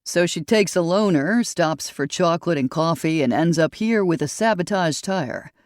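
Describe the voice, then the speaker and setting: A tall, composed detective who keeps his questions friendly and level, then turns blunt, as an audiobook narrator voices him. A mid-pitched voice, close and dry on the mic. Crime audiobook. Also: animated, moderate pace, dry recording, lightly acted. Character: tall male police detective, calm and probing.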